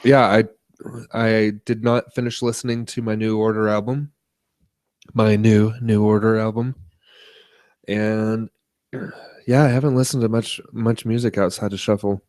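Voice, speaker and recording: Low-voiced man chatting casually, dry sound. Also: little pitch movement, measured pace, long pauses, darkish tone, no echo. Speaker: podcast co-host. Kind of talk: casual chat about tech and media.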